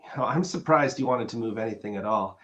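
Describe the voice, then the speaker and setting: A man's voice: medium pitch, animated, fast talker, lightly acted. A man acting an earnest, awkward, conventional boyfriend with a hesitant delivery, in a dramatic script reading. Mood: hurt, restrained. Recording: dull, lo-fi sound.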